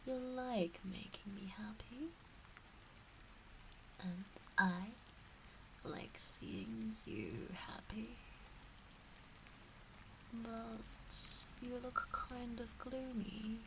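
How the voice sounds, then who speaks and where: Woman as kitsune witch mistress for ASMR roleplay: motherly, teasing, very slow whispery cooing, creaky edges. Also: fairly high pitch, slight background noise, exaggerated voice, low-quality recording. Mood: teasing, possessive.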